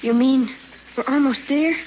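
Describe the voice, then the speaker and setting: Anxious young boy, old radio play: highish, devoted, halting and pleading, muffled vintage sound. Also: fairly even intonation. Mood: anxious, tearful. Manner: short, halting, pleading questions. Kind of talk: old-time radio drama acting. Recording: dull old radio recording, faint noise.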